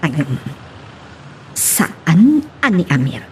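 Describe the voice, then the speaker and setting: A woman's voice: deep voice, very animated, very slow, slight background noise, full character voice. A woman playing a gossipy, disapproving character who muses aloud in an Ilocano radio drama.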